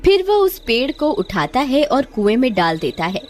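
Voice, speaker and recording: A woman's voice: fairly high pitch, sing-song, moderate pace, darkish tone, soft background music. A woman giving scripted narration for a cartoon horror story.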